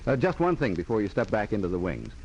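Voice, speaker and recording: A man's voice: fairly low pitch, wildly swooping pitch, fast talker, darkish tone, muffled recording, slight background noise. A man hosting a 1940s radio theatre in a courtly, theatrical manner. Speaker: director and host of radio theatre. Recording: vintage 1940s radio transcription.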